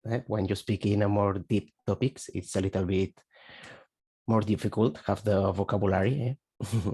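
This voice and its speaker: A man's voice: on the low side, steady tone, normal speed, dark tone, breathy edge. A man from Spain with a Spanish accent, a Spanish native speaker asking a question on a video call, searching for words as he goes. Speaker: webinar participant asking a question. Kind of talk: halting question in a video webinar.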